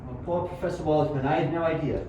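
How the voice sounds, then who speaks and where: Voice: medium pitch, fast, highs cut off, lightly acted. An amateur performer plays an anguished young scientist, dramatic and obsessive, in a homemade radio drama. The voice sits back from the mic in heavy echo, with a faint layer of noise. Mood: weary, defensive. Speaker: amateur student performer and narrator. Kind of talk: amateur radio play acting and narration. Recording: very echoey, distant, faint noise.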